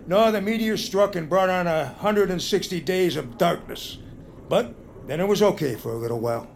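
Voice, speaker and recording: A man's voice: sits high, moderate pace, vocal fry, slight background noise. An actor playing a folksy rural man in a comedy radio play who rambles through tall tales.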